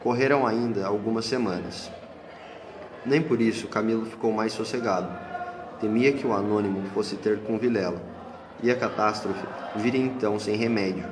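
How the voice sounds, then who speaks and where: A man's voice: lowish voice, moderate pace. Male radio play narrator in echoey hall. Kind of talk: scripted literary narration. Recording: echoey hall with clear noise.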